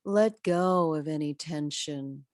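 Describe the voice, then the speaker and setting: A woman with a low, mellow voice guides a meditation like a spiritual coach. She speaks gently and very slowly, leaving long pauses and letting phrases trail softly into breath. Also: no echo, studio quality.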